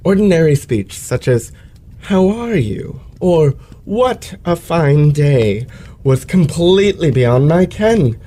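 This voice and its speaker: A man's voice: on the high side, very animated, takes it slowly, dark tone, heavily acted. A man playing a pompous, self-pitying male lecturer with florid, precise elocution in an old-fashioned radio play. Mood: aggrieved, theatrical.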